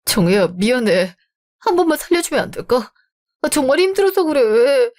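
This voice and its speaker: A woman's voice: high voice, big pitch swings, normal speed, darkish tone. A woman voicing an apologetic young man who pleads and apologizes anxiously in a radio drama. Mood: pleading. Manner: pleading, flustered.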